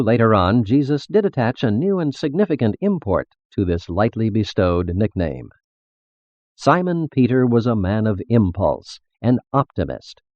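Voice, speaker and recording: A man reading a religious text as scripted narration, in a measured, formal, expository manner. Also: mid-range voice, darkish tone, no echo, highs cut off.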